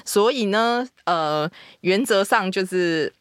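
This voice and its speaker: Woman, lively explaining guest. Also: medium pitch, slow pace, smooth, no echo, studio quality. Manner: explanatory, lots of fillers and agreeing. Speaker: publishing editor guest. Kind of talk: casual podcast chat. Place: studio. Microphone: studio mic.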